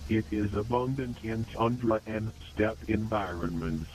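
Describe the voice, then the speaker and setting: Low-voiced man reading formal announcements. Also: a little mellow, low-quality recording. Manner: formal, measured, impassive. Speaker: narrator of a mock public warning video. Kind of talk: scripted documentary-style announcement narration.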